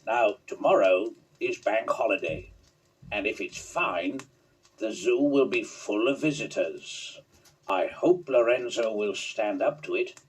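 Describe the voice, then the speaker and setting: Zoo director, lower and deliberate, as a narrator puts him on, husky, distant-sounding mic. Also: lively intonation. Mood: hopeful. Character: pompous zoo director, older man.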